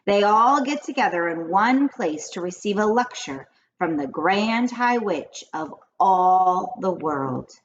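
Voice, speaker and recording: A woman voicing an old grandmother in a children's storybook, confiding grave witch lore in a deliberate, dramatic way. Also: medium pitch, expressive, highs cut off. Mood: gravely confiding. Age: older. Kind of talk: children's storybook read-aloud.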